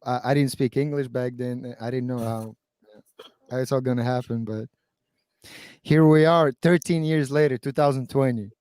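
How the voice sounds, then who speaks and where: A man's voice: mid-range voice, fairly even intonation, normal speed, a little mellow, clear, resonant tone, no echo. A man in his thirties from Kazakhstan whose first language is Russian, chatting casually and hesitantly on a stream, with many fillers and restarts. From Kazakhstan (then USSR). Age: 30s.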